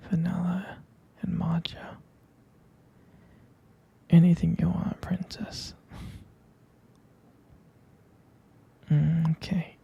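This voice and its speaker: A man playing a tender, doting boyfriend in a whispered ASMR roleplay, husky and breathy, speaking gently and hesitantly close to the mic. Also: on the high side, dull audio, heavily acted. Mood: gently caring. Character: tender doting young boyfriend soothing his sweetheart to sleep.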